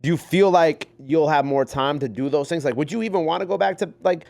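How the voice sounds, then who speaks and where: A man's voice: on the high side, creaky, darkish tone. A man hosting an interview, asking long questions while searching for his words. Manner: halting, searching for words, many fillers. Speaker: interviewer, radio host.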